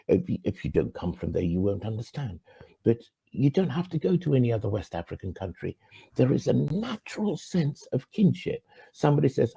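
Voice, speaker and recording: A middle-aged man from Ghana, hosting his channel with an earnest, reflective monologue that swings from emphatic rises to quiet, low endings. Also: vocal fry, husky. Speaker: channel host.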